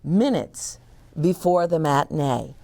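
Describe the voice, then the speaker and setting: A middle-aged woman reading a children's picture book aloud at a very slow, deliberate pace. Her voice swoops with lively expression and has a gravelly edge at the ends of phrases. She pauses to explain words for young listeners. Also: deep voice. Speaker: children's story reader.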